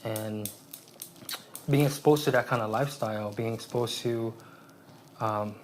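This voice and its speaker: Halting soft-spoken man, faint hiss. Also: fairly low pitch, fairly even intonation. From Vietnam, raised in San Jose. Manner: halting, many restarts and fillers. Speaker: guest, aspiring therapist. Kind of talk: reflective interview talk.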